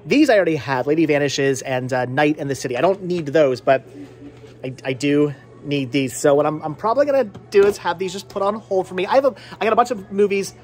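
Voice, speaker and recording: Man, middle-aged, slightly high voice, casual vlog host, rambling, repetitive emphasis, handheld camera in a shop. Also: fairly quick. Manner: rambling, repeats words for emphasis. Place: inside a small cluttered movie and game shop. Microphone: phone or camera at arm's length.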